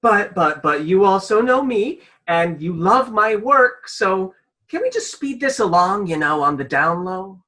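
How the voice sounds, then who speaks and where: Woman playing a flustered, officious storyteller, swooping pitch. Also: expressive, measured pace, fairly bright tone. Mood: flustered, pleading. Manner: exasperated, hesitant when pleading, pompous when commanding. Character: flustered, officious fairy tale narrator, clinging to tradition. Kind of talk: comedic radio play acting.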